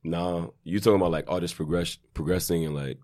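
Low-voiced man talking fast. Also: steady tone, a little mellow. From New York. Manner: laid-back, thinks aloud with many "like"s. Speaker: rapper guest. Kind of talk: casual chat.

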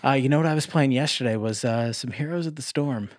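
A man's voice: medium pitch, quick pace, a little mellow, dry recording. A man with a mid-range voice co-hosts a podcast in a loose, casual way. He is laid-back and self-deprecating with some swearing, and the recording is very dry. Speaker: podcast co-host. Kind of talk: casual chat.

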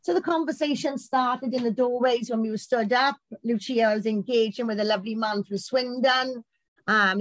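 A British woman with a loud voice, a campaign organiser telling stories in casual chat. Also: on the high side, dry recording, poor audio. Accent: British English. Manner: loud, chatty storytelling.

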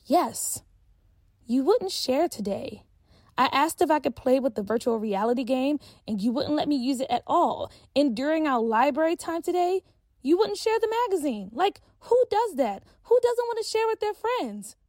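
Direct schoolgirl who speaks her mind, done by a woman, high and lively. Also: normal speed, no echo, clean studio sound. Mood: upset. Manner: direct, sassy, plain-spoken.

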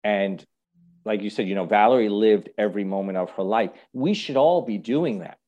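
A man hosting a casual, reflective conversation, speaking thoughtfully and haltingly and often checking in with the listener. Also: on the low side, dull audio. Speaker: host.

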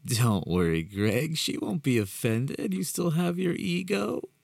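A man voicing an unhinged, sinister man who speaks in a slow, drawn-out, mocking way. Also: a little high, animated, gravelly, darkish tone, breathy edge, dry recording, clean studio sound, pitched above the performer's own voice, heavily acted. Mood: zealous. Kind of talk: horror story narration.

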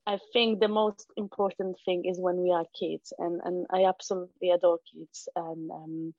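A woman's voice: on the low side, little pitch movement, normal speed, no echo, lo-fi sound. A middle-aged woman from Poland with a Polish accent, a guest talking casually in an interview, often restarting and filling with "um". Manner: hesitant, many restarts and fillers. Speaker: guest, founder of an arts venue for kids.

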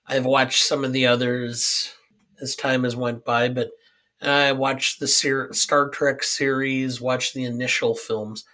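A middle-aged or older American man chatting casually about films, halting and measured, his voice scratchy from a cold. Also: medium pitch, flat delivery, sharp tone. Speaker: podcast co-host. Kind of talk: casual film chat.

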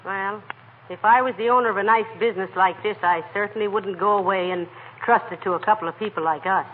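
A woman playing a sensible, long-suffering wife with a dry, gently scolding manner in 1940s radio comedy. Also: medium pitch, fast, close to an everyday voice. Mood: wry. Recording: tinny, hissy old radio recording.

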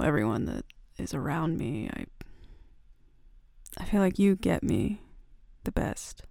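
Woman playing a creaky, gentle bad-girl roommate. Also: lowish voice, dry recording, studio quality, full character voice. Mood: affectionate, tender. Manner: soft, hesitant, halting confiding talk. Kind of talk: intimate ASMR roleplay. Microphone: close, dry microphone.

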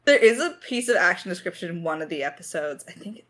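A woman's voice: fairly low pitch, expressive, fast, fairly bright tone. A woman talking casually in a creator Q&A, thinking aloud as a writer in a self-deprecating, hesitant way. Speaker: lead writer of an audio drama podcast.

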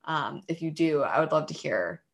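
A woman's voice: low-pitched, lively intonation, moderate pace, gravelly. A woman leading a casual podcast group talk, posing curious questions and thinking aloud.

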